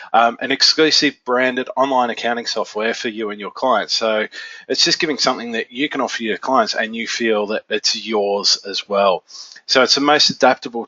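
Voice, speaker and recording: A man with a stuffy nose from a cold, presenting a software training webinar in a casual, filler-heavy way. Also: medium pitch, dry recording. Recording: dull, lo-fi webinar audio.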